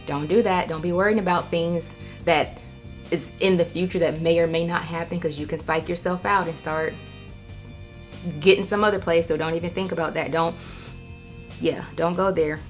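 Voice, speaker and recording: A woman's voice: fairly low pitch, fairly even intonation, moderate pace, faint music behind. An American woman talking candidly and reflectively in a casual personal vlog, repeating herself for emphasis. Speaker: vlogger.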